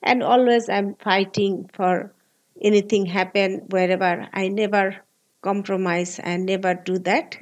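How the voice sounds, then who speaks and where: A woman in her forties from Bangladesh with a Bangladeshi accent, speaking earnest, halting English as an interview guest. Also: mid-range voice, flat delivery, poor audio. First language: Bengali. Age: 40s. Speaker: guest, labor activist.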